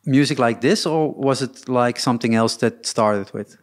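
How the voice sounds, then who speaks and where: A man's voice: medium pitch, moderate pace. Chatty male interview host. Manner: relaxed, chatty, stumbling over words. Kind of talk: casual interview chat.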